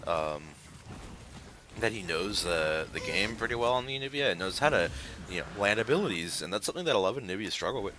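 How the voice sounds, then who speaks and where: A man giving casual color commentary on a live game match, talking in a hesitant, rambling way. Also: low-pitched. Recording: noisy live-stream mic.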